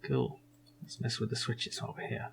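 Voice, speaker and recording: A man's voice: normal speed, slight background noise. A man giving casual let's play commentary, thinking aloud in a wry, musing way. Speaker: video game streamer.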